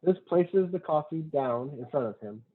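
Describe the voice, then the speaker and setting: A man's voice: fairly high pitch, animated, normal speed. A man narrating a screenplay's stage directions in a plain, matter-of-fact way. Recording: muffled, dull lo-fi sound.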